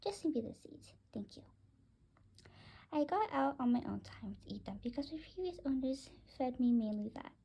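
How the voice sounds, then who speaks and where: A woman's voice: high voice, lively intonation, normal speed, vocal fry, mellow, slightly rough, heavily acted. A woman voicing a small, picky pet bird who mutters possessively in a children's story. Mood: wary, grudging. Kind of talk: children's story read aloud.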